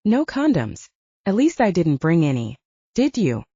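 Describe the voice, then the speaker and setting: A candid young woman from an audiobook romance, acted out by a woman narrator. She teases playfully with animated intonation and a mellow tone. The recording is dry and close. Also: fairly low pitch, measured pace, breathy edge, no echo, muffled recording, heavily acted. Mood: teasing.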